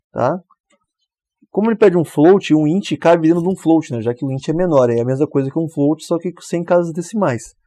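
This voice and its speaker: A man explains code in a relaxed, mellow voice. He walks through each step and keeps checking in with the listener. The sound is dry and close, with no room around it. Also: a little high, no room sound. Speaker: programming tutor. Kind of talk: screen-recorded coding tutorial.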